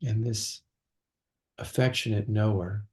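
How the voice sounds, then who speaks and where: A man leading a guided meditation as a teacher, speaking gently and slowly with long pauses between phrases. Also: fairly low pitch, steady tone.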